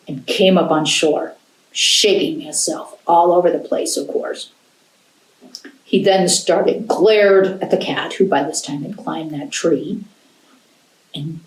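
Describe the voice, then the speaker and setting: An American woman telling a folktale to children in an animated storyteller's style. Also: lowish voice. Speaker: library storyteller. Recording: roomy, echoing indoor sound.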